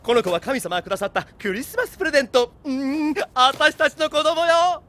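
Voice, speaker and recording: A male actor voicing a high-spirited, effeminate adult in an animated film, theatrical and able to turn soft and sentimental. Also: racing, slight background noise, full character voice. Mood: tender, wistful. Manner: theatrical, swinging between energetic and soft delivery.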